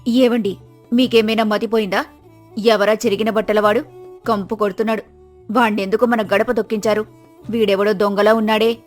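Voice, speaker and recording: A woman's voice: medium pitch, level tone, normal speed, long pauses, close to an everyday voice. A woman playing a poor, weary village wife in a moral story drama, scolding her husband in an exasperated, complaining manner. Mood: angry, complaining. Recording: music behind the voice.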